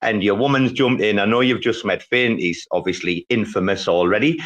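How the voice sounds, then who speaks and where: Enthusiastic British man, low-pitched, chatting. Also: normal speed, a bit bright. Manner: enthusiastic, chatty, informal slang. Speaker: show host. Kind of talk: casual chat.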